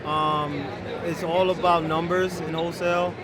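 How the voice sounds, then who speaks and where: A man representing a denim brand, giving an upbeat, sales-pitch style interview at a trade show. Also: flat delivery, normal speed. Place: busy trade show hall. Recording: heavy crowd chatter behind.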